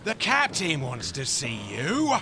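High-voiced, bullying ship's mate. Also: male voice, huge pitch swings, slow pace, background noise, full character voice. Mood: barking. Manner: barking orders. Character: rough, bullying ship's mate, obedient to his captain. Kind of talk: radio drama acting.

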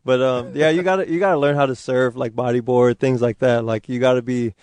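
A man's voice: mid-range voice, brisk, clear, resonant tone, no room sound. A man in his twenties from Hawaii, whose first language was Hawaiian, talking as a guest in a casual, easygoing interview. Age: 20s. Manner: relaxed, easygoing, many fillers. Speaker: football player guest.

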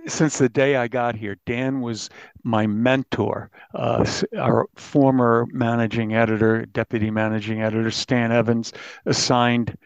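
A middle-aged or older man, a crime reporter, answering interview questions in a measured, halting way. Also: medium pitch, little pitch movement, gravelly, clear, resonant tone, no room sound. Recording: slightly dull, dry sound.

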